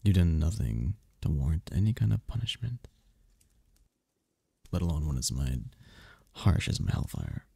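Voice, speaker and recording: A man playing a seductive, imperious demon prince in an ASMR romance roleplay, teasing and possessive, with a husky, slow, theatrical purr. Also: very deep voice, very animated, dark tone, no echo, heavily acted. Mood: teasing, commanding. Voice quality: gravelly, husky, often breathy whisper. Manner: slow, purring, theatrical, commanding.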